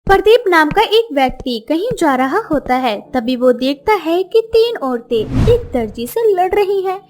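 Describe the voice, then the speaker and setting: A woman narrating a cartoon story in an expressive, scripted storytelling voice. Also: high voice, measured pace.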